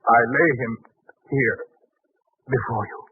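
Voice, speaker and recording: An actor playing a doubting, determined father of a sick son, with solemn, halting speech in 1940s radio drama style. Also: mid-range voice, husky. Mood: pleading. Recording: noisy, narrow-band 1940s radio recording.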